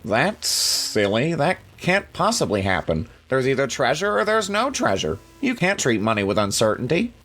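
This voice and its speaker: A man's voice: medium pitch, very animated, normal speed, hardly any pauses, a bit bright. A man playing a fussy, skeptical, anxious space traveler in an audio drama, whiny and easily flustered.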